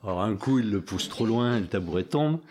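A man's voice: fairly low pitch, animated, a little fast, a little mellow, slight background noise. A man sharing reflections in a group discussion, talking hesitantly with many restarts and fillers. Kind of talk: casual reflective talk.

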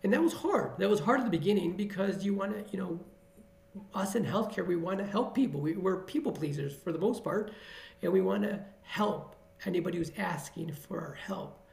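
Middle-aged male ER doctor from the Chicago area, earnest and motivational, heavy vocal fry, echoey room. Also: high voice, animated, moderate pace, darkish tone. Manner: earnest, motivational, repeats phrases for emphasis. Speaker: emergency physician guest. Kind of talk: podcast interview answers.

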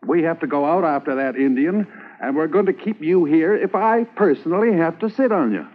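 An actor playing a gruff, commanding Mountie sergeant who snaps orders. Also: male voice, a little high, lively intonation, fairly quick. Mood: brusque. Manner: brusque commanding. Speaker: police sergeant. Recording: tinny 1950s radio transcription.

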